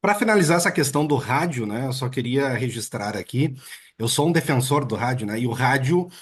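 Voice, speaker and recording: Guy hosting an interview, husky-ish voice, keeps saying né, laid back. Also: mid-range voice, fairly quick. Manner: relaxed, chatty, with many filler "né"s. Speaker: interviewer and host. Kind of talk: casual interview conversation.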